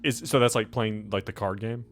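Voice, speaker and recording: A man with a fairly low voice hosts a tabletop gaming podcast. He banters casually, teasing his friends and swearing freely, with faint music underneath. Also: fairly even intonation, a little fast. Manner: teasing, profane. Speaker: game master and host of a tabletop podcast.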